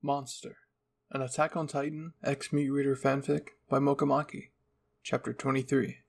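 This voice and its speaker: Casting: male narrator for fan fiction, scripted reading with an average-pitched voice. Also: little pitch movement, moderate pace, creaky. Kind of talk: scripted story narration, ASMR roleplay reading.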